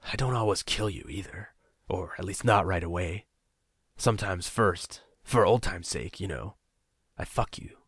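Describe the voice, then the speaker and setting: A man's voice: on the low side, lively intonation, moderate pace, fairly bright tone, slightly rough, dry recording, studio quality, heavily acted. A man voicing a desperate young male captor in an audiobook, swinging from harsh taunts to pleading whispers. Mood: quiet. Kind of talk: audiobook character voice.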